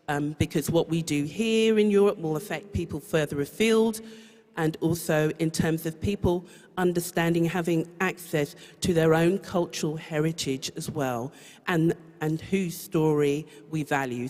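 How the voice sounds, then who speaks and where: A woman speaking as a panelist, hesitant and pausing often as she makes her point. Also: on the low side, darkish tone. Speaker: panelist, tour guide. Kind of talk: panel discussion. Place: large museum event hall. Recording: reverberant public hall.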